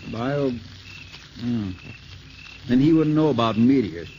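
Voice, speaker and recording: A man's voice: medium pitch, lively intonation, slow, highs cut off, slight background noise. A man playing a good-natured, folksy fire lookout in a 1950s radio drama, colloquial and eager, on an old radio recording. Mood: sheepish. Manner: folksy, colloquial, hesitant and drawn out.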